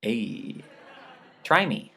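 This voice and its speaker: A man's voice: drawn-out words, pushed and bright. Befuddled middle-aged dad, played by a male narrator: cheerful, folksy, corny, for an audiobook story. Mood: folksy, affectionate.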